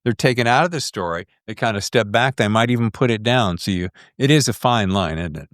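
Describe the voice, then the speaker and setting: A man's voice: lowish voice, lively intonation, fairly quick, fairly bright tone, no room sound, studio quality. A middle-aged man hosting a podcast, chatting in an informal, jokey, salty-tongued way that wanders into tangents. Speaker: podcast host. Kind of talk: casual interview chat.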